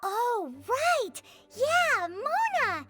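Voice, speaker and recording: A woman voicing a tiny, excitable, childlike fairy companion in a video game, with a squeaky, perky delivery. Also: extremely slow, heavy background noise, full character voice. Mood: excited. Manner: talks of herself in third person, perky. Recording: music behind the voice.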